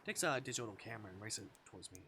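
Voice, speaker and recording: A man's voice: fairly low pitch, lively intonation, very fast, sharp tone, a little hiss or hum. A man streaming live, reading visual novel narration aloud and now and then tripping over the words and correcting himself.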